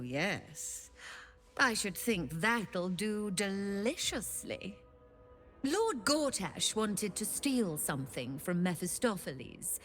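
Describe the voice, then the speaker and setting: A woman's voice: medium pitch, fairly bright tone. A woman playing a sly, greedy female devil who trades infernal bargains in a video game, theatrical, teasing and mock-courteous. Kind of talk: video game character acting.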